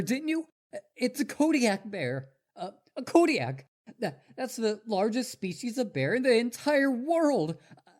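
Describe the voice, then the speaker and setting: Man playing an animated, eager, boastful wolf boy. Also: high voice, exaggerated voice. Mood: flustered, pleading. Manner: boastful, rambling, stammering when flustered. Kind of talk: roleplay audio drama addressed to listener.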